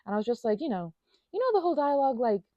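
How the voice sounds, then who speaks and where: A woman's voice: high voice, sing-song, very fast, no room sound, highs cut off. A woman giving a scattered, playful, self-deprecating improvised ramble, trailing off into tangents and asides and sometimes dropping to a near whisper. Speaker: audio channel creator. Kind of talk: improvised stream-of-consciousness ramble while finger painting.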